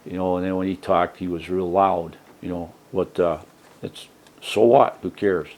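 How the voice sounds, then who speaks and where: A man hosting his own channel, talking casually and softly from his trailer home, rambling with many fillers and restarts. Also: sits low, level tone, moderate pace. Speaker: YouTube channel host. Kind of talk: casual rambling vlog talk. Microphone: desk mic set for a soft voice.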